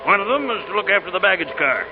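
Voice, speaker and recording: A man acting a stern but soft-hearted, blustering train conductor in a 1940s American radio drama. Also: high voice, big pitch swings, very fast, over music. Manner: blustering, scolding, easily won over. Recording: dull, noisy old radio broadcast recording.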